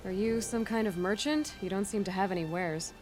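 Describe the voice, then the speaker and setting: An actress playing a direct, sceptical young huntress heroine in a video game, questioning in a plain-spoken way. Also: female voice, medium pitch, brisk, darkish tone, faint music behind, close to an everyday voice. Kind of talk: video game dialogue acting.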